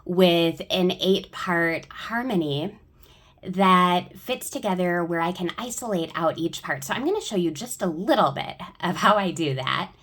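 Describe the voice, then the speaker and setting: A woman's voice: fairly low pitch, expressive, measured pace, a bit bright. A woman working as a choir director explains things step by step in an informal spoken tutorial.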